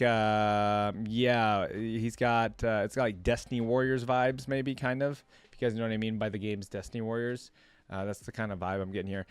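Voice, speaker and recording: A man's voice: on the low side, no echo. A man giving casual reaction commentary as a video host, chatty and thinking aloud as he goes. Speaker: host of a gaming reaction channel.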